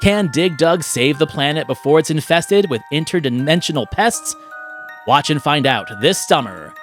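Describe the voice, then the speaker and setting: A man playing a dramatic, salesman-like movie trailer announcer reading a scripted pitch. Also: animated, moderate pace, soft background music, natural voice. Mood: hyped, dramatic. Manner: theatrical, salesman-like.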